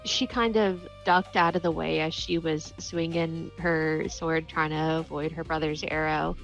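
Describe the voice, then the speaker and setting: A woman's voice: low-pitched, level tone, a little slow, vocal fry, faint music behind. A woman playing a tabletop game, steadily describing what her character does. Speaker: player. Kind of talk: casual tabletop play. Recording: dull lo-fi recording.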